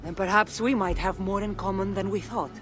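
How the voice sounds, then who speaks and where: An actress playing a seasoned, wry and composed Greek warrior in a video game, with a Greek accent and a measured, teasing delivery. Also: female voice, mid-range voice, fairly even intonation, music in the background, lightly acted. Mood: measured, candid. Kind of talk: dramatic video game cutscene acting.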